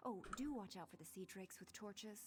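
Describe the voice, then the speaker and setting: An actress voicing a bold, brisk female adventurer in scripted fantasy game dialogue, confident as she gives orders and advice. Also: mid-range voice, long reverb tail, faint music behind, natural voice. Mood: brisk, instructive.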